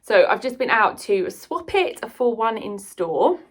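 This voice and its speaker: British woman vlogger, bit high pitched, chatty and rambly, keen on what she's talking about. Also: sing-song, unhurried. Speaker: book vlogger and writer. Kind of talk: casual chatty vlog to camera.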